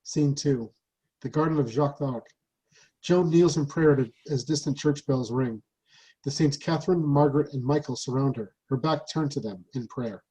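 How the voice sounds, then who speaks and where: A husky-voiced narrator reads stage directions for a play. The delivery is plain and descriptive, setting each scene from the script. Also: mid-range voice, moderate pace, warm tone, poor audio.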